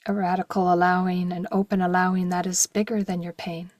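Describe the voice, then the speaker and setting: Husky, breathy woman guiding meditation. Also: lowish voice, level tone, no room sound, studio quality. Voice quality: soft, breathy, at times near a whisper. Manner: slow, gentle, with long pauses and short phrases. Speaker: meditation guide. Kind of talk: guided meditation.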